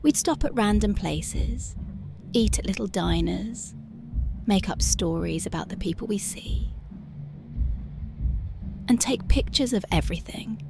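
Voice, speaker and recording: A woman voicing a tender, dreamy young punk girlfriend in an ASMR roleplay, speaking softly and often slipping into whispers. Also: medium pitch, animated, measured pace, creaky, long pauses, over music. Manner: soft, often drifting into whispers. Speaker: ASMR voice actress.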